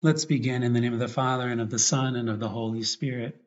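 A man, a priest, guiding a meditation and prayer in a reflective way, often pausing mid-thought. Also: mid-range voice, steady tone. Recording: dull, lo-fi recording.

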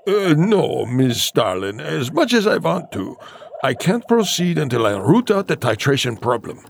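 An actor playing an anxious, hesitant doctor of science with a halting manner, in a campy audio drama. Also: male voice, fairly high pitch, very animated, vocal fry, a little hiss or hum, exaggerated voice. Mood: uneasy, pleading. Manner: slow, careful, halting.

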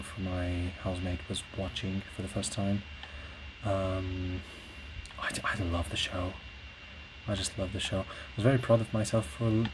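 Low, even-voiced man rambling reflectively. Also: low-quality recording. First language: Portuguese. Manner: hesitant, reflective, searching for words. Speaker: podcast host; classical singer. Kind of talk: casual solo rambling monologue. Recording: clear steady background noise.

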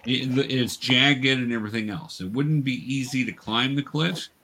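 Bright-voiced man running a tabletop game. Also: mid-range voice. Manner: halting, explanatory. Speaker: game master. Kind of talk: narrating a tabletop game to young players. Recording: clear background noise, clicks.

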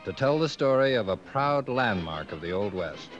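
A man narrating a 1950s radio western in a solemn, measured way. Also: on the low side, expressive, muffled recording, close to an everyday voice. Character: solemn male narrator of an Old West cavalry drama. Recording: dry, band-limited 1950s radio recording. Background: music.

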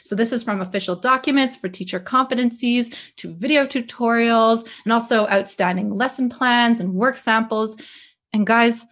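A young woman from with a fairly high voice hosts a relaxed interview podcast. She talks with eager enthusiasm, often stumbling and restarting her phrases. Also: moderate pace. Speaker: podcast host. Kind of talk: casual interview chat.

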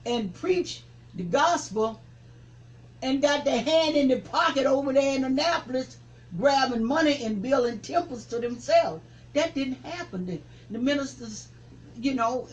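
A man in his seventies, a reverend, recalling the past in an interview with a preaching cadence that rises to forceful emphasis. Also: extremely high pitch, unhurried, poor audio. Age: 70s. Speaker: reverend, civil rights veteran. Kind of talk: interview reminiscence.

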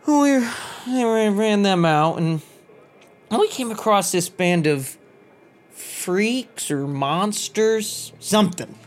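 An actor playing a jovial, drunken Confederate soldier who rambles in an over-friendly, chatty way. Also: male voice, high-pitched, lively intonation, takes it slowly. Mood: tipsy, rambling.

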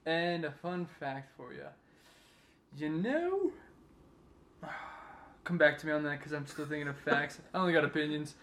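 A man's voice: fairly high pitch, animated, moderate pace, long pauses, fairly bright tone, a little hiss or hum. A man in his twenties joining a casual podcast, talking in a hesitant, offhand way. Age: 20s. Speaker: podcast guest.

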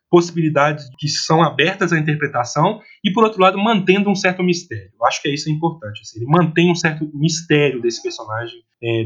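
A Brazilian man discussing film analytically off the cuff, haltingly, repeating words and often adding tag questions. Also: a little high, fairly bright tone, lo-fi sound. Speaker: film commentator.

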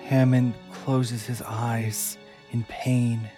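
A man reads the narration of a fan audio drama, measured and dramatic. His voice sits at a middle pitch. Clear music plays behind him throughout. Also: flat delivery. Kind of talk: scripted narration.

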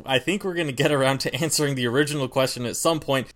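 A man co-hosting a podcast on singing, explaining in a relaxed, rambling way. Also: medium pitch, fast talker. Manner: relaxed, rambling, many fillers and restarts. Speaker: podcast co-host, singer. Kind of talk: casual podcast chat.

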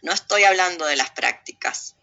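Sharp, forceful Argentine woman with sardonic bite. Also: on the low side, expressive, normal speed, vocal fry, dull audio. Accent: Buenos Aires Argentine Spanish. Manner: emphatic, sardonic, digressive. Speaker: interview guest. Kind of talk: opinionated political interview talk.

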